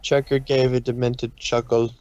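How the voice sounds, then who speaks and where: A man's voice: mid-range voice, little pitch movement, normal speed, a little mellow, dry recording, dull audio. A man reading scripted story narration in a dramatic style.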